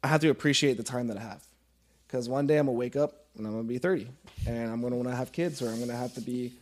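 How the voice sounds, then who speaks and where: A man's voice: mid-range voice, very fast, darkish tone. A man in his early twenties talking casually and earnestly as a podcast guest, informal and given to swearing.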